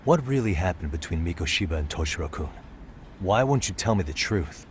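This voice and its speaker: Deep-voiced, dogged investigator. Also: male voice, expressive, a little hiss or hum. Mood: probing. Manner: pressing, deliberate, serious. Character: dogged, probing male investigator, calm but insistent. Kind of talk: dramatic game cutscene acting. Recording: dramatic music behind.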